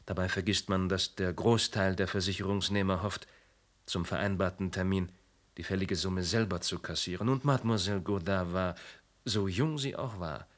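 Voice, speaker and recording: An actor playing an insurance agent in a radio play, precise and wry, a little condescending. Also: male voice, low voice, steady tone, moderate pace, natural voice. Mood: explaining. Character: polished, ironic male insurance agent.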